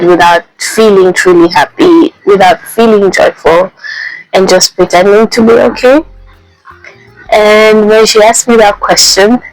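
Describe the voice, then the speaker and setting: A young woman vlogging casually, speaking softly with a voice made raspy by a flu, pausing often with ums. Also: medium pitch, no echo, poor audio. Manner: soft-spoken, halting with many ums. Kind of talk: casual personal vlog. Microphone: handheld mic.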